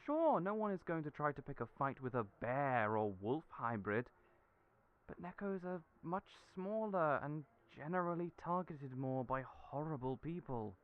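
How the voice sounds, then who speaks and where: A man voicing a young friend who talks earnestly and encouragingly, raised in pitch from his own voice. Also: sing-song, unhurried. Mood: explaining. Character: warm, reassuring friend, young adult. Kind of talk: audio roleplay acting. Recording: tinny phone-like audio.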